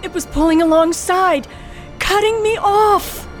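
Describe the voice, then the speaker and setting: A woman's voice: extremely high pitch, measured pace, soft background music. An actress playing a nervous young woman who tells her own tale of driving alone at night in an old radio thriller. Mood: frightened. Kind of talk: old-time radio thriller acting, first-person narration. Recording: noisy old radio drama recording.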